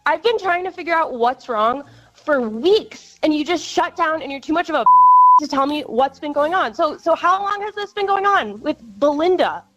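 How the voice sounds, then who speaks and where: An American woman speaks in a high, bright voice with lively swings in pitch. She talks conversationally as a caller on a radio show. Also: moderate pace, rough recording. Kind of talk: emotional personal conversation.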